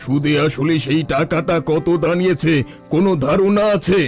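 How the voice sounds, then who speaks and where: A man's voice: a little high, moderate pace, faint music behind, lightly acted. An actor playing a greedy, scheming village headman who talks in a cold, threatening, condescending way. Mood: menacing.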